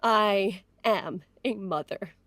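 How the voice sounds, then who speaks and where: An actress playing a distraught, devoted mother in a period audio drama, pleading in an earnest, halting, emotional way. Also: mid-range voice, fairly bright tone, dry recording. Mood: grieving, earnest.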